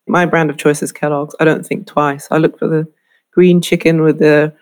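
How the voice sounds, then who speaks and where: A middle-aged woman from the UK, a lecturer giving a clear, explanatory lesson on branding. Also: low-pitched, little pitch movement, normal speed, a little mellow. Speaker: fashion marketing lecturer. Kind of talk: instructional talk to camera.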